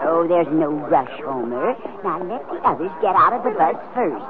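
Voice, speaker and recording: An actress playing a fluttery, bossy tourist wife who scolds and frets in a 1940s radio drama. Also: female voice, lowish voice, big pitch swings, normal speed, phone-quality sound, a crowd in the background. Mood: teasing, bossy. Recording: thin, crackly 1940s radio recording.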